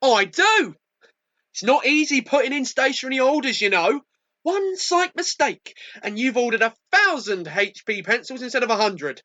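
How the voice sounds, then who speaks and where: A man's voice: extremely high pitch, big pitch swings, moderate pace, bright, forceful tone, no room sound, exaggerated voice. A man playing a pompous, sarcastic stationery coordinator in an amateur radio play. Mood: defensive, fussy. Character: sarcastic, fussy stationery coordinator, self-important office man.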